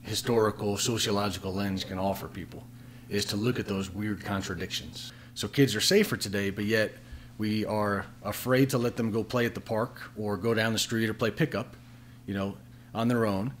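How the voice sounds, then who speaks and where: A middle-aged American man co-hosts an interview in a fairly low voice. He talks conversationally at a desk microphone, with faint noise behind him. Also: steady tone, normal speed. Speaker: co-host. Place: small plain room at a table, indoors. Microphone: desk microphone on stand.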